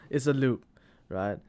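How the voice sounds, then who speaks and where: A young man from Thailand, speaking English as a second language, chatting haltingly with restarts and "hella" slang. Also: medium pitch, mellow. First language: Thai. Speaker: podcast co-host. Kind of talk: casual chat.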